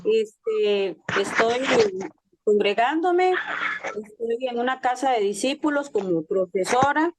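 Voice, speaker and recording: A woman's voice: on the high side, expressive, slow pace, noisy background. A woman from Costa Rica who teaches at a house church, introducing herself hesitantly and using "este" as a filler. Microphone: video call.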